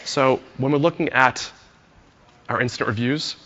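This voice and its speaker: A hoarse man giving a friendly conference talk to an audience, telling a story with many "uh" fillers. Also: mid-range voice, moderate pace, a bit bright, in a large hall, dull audio. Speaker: conference speaker, engineer.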